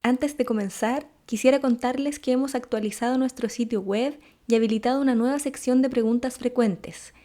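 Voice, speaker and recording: A woman's voice: a little high, fairly quick, few pauses, dark tone. A woman hosting an interview show, presenting and asking questions in Spanish. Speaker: podcast host and interviewer. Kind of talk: scripted introduction and interview.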